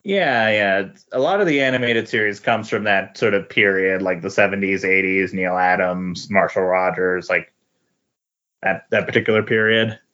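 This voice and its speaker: A man speaking as a podcast guest in a casual comic book discussion, halting and often repeating words. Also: low voice, bright, forceful tone. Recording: dull lo-fi voice recording.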